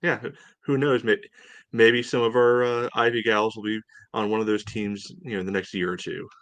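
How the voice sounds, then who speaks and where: A man with a fairly low voice hosts a casual sports podcast. His delivery is halting and meandering, padded with filler phrases. The sound is dry, with very little room in it. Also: level tone, a bit bright, no echo. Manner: halting, meandering delivery with frequent "you know". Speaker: podcast host.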